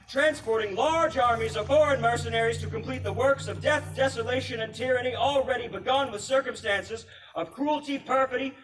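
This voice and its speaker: A man reenacts a solemn colonial American statesman proclaiming to the public in a high, projected voice. The delivery is formal and oratorical, with deliberate phrasing. It is picked up from a distance at a live event, with faint noise. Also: a bit bright. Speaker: historical reenactor.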